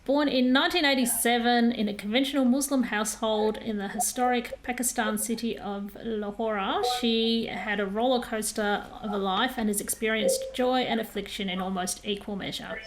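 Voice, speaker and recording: Female podcast host, higher voice, noisy line. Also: steady tone, moderate pace, hardly any pauses. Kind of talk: podcast interview.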